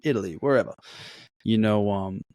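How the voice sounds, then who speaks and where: A man's voice: fairly low pitch, normal speed, no room sound, studio quality. A man from Melbourne whose Australian accent is blending toward American, a podcast guest talking casually and loosely, full of "like" and "yeah". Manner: relaxed, slangy, says "like" and "yeah" often.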